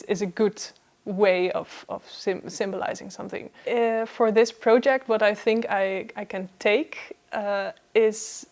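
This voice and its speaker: A woman giving a reflective interview answer, halting as she searches for the right words. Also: mid-range voice, a little mellow. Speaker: theatre artist being interviewed.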